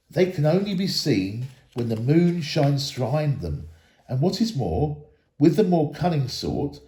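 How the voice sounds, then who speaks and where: A man voicing a wise, grave ancient elf lord in a storybook, with measured, solemn, archaic phrasing. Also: a little high, mellow, roomy sound.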